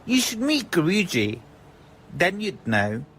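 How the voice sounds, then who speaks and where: A woman's voice: lowish voice, big pitch swings, slow pace, a little hiss or hum. A woman playing a childlike, dreamy, rambling eccentric in an audio drama.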